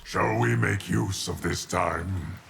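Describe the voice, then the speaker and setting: A man voicing a stoic, loyal keeper of time in a video game, with a deep gravelly voice and formal, deliberate delivery. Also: fairly bright tone, slight background noise, full character voice. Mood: grave, steady. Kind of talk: video game character dialogue.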